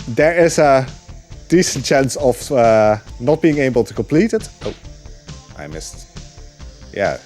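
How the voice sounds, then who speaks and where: A man casually commentating his own speedrun live, relaxed and rambling, with many ums and uhs. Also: medium pitch.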